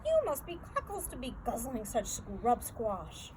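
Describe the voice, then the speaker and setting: A woman voicing a huge, brutish, man-eating giant who bullies and shouts insults in garbled grammar in a storybook reading. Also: on the high side, wildly swooping pitch, a little slow. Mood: scornful. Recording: clearly noisy background.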